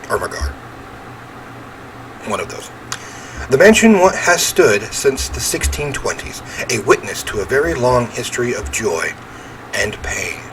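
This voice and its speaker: A man's voice: medium pitch, sing-song, measured pace, long pauses, a bit bright. A man hosting a horror game playthrough, chatting casually in a rambling, self-deprecating way and reading the story aloud. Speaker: gaming host playing a horror visual novel. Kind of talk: casual let's play commentary with read-aloud narration. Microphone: standalone microphone at a desk. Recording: noisy home gaming recording.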